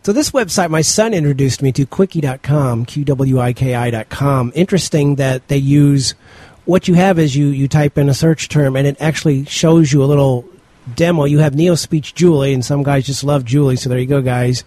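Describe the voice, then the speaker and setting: Middle-aged man, podcast host, mellow tone, relaxed rambling delivery, dry close sound. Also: medium pitch, normal speed, no echo. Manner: relaxed, rambling, reads out article titles. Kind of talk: casual panel chat.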